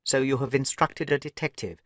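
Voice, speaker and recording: A man voicing a miserly, irritable little old lord with a sharp, crackling voice and a blustering manner. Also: brisk, dry recording, studio quality, natural voice. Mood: suspicious. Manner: blustering, shrill when angry.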